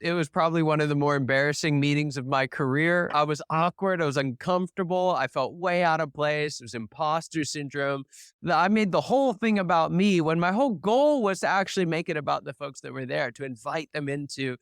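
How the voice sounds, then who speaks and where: A man's voice: on the high side, brisk, a bit bright, clear, resonant tone, no room sound, clean studio sound. A man telling his story as a guest on an interview podcast, earnest and reflective, often checking in with "right?". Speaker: podcast guest, business founder.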